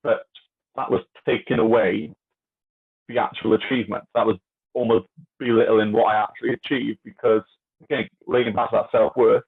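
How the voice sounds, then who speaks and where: Husky-voiced man who stutters, on a tinny line. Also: on the low side, steady tone. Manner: earnest, halting, open. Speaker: interview guest. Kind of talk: reflective casual talk.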